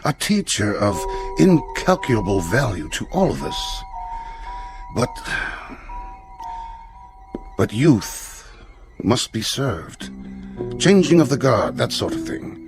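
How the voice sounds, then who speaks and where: An actor playing a formal, tactful, evasive school headmaster in a radio drama, stiffly courteous and measured in his wording. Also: mid-range voice, wildly swooping pitch, music in the background. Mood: apologetic, smooth.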